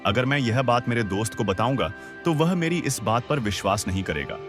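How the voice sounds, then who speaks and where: A man's voice: mid-range voice, lively intonation, brisk, close to an everyday voice. A man playing a worried old farmer father in a cartoon moral story. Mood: dismayed. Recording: music behind the voice.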